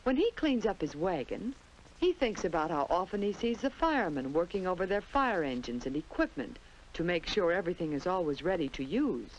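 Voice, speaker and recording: A woman's voice: fairly low pitch, sing-song, fairly quick, a little hiss or hum, poor audio. An American woman narrating a 1950s children's instructional film in a simple storytelling manner, on an old film soundtrack. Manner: simple, storytelling delivery addressed to young children.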